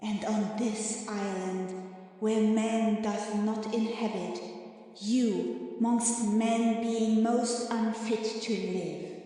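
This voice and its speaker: Woman acting a very slow, echoing avenging spirit. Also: mid-range voice, mellow. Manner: slow, deliberate, portentous delivery with long pauses. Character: airy spirit Ariel disguised as a harpy, solemn, condemning. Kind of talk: dramatic Shakespeare audiobook reading. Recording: very echoey long reverb, faint music.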